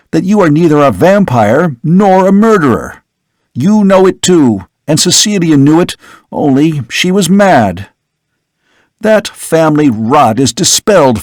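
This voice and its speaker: An agitated young man who pleads and insists with rising passion, as a male narrator puts him on. He sits noticeably higher than the reader's own voice, in a storybook reading. The sound is close and dry. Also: darkish tone, dry recording. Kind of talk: audiobook character reading.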